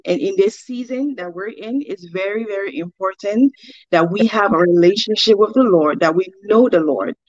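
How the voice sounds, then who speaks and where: A woman's voice: medium pitch, dry recording. A woman in her forties from Liberia, giving earnest, devout personal testimony as an interview guest on a slightly dull recording.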